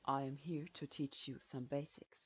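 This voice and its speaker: A woman's voice: very deep voice, steady tone, moderate pace, slightly rough, dry recording, dull audio, pitched below the performer's own voice. A woman voicing a stern adult male ninja instructor who speaks in curt, cold commands.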